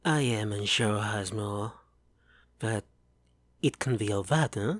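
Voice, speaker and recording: A man voicing a gruff male dwarf who makes plain, wry remarks. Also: on the low side, takes it slowly, no room sound, clean studio sound. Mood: dry. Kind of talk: fan audio drama roleplay.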